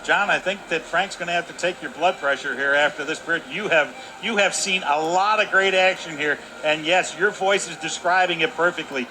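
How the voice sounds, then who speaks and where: A man's voice: on the high side, moderate pace, few pauses. A man giving color commentary on a radio hockey broadcast, explaining strategy in a folksy, emphatic way. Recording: arena broadcast with faint noise.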